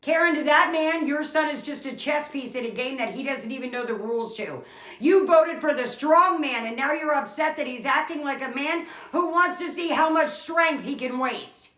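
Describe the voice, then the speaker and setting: A woman's voice: high-pitched, normal speed, fairly bright tone, reverberant, low-quality recording. A woman playing an exasperated office coworker with dry, withering, articulate sarcasm in a comedy skit.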